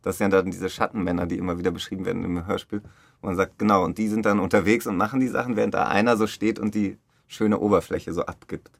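A man's voice: deep voice, fairly even intonation, fast talker, vocal fry, no room sound. A man in a casual, reflective interview, talking as a director in loose, informal phrasing. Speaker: radio play director interviewed.

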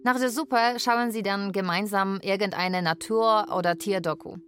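A woman playing a carer who narrates a daily routine in a radio drama, measured and matter-of-fact. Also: medium pitch, fairly even intonation, fairly bright tone, lightly acted.

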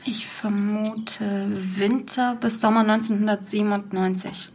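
An actress in her thirties playing a curious young woman who gently coaxes a witness with probing questions in an audio drama. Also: mid-range voice, fairly even intonation, measured pace, noisy background, close to an everyday voice. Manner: probing, gently coaxing, sometimes whispering asides. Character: curious young woman searching for a lost tape. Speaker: podcaster interviewing a witness.

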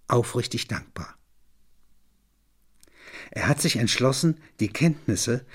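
A man reading scripted literary narration in a measured, sober way, phrase by phrase. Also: mid-range voice, breathy edge.

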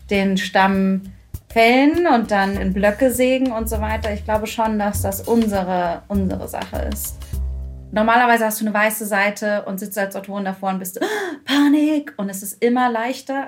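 A woman talks casually and informally as a podcast co-host. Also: mid-range voice. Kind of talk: casual chat.

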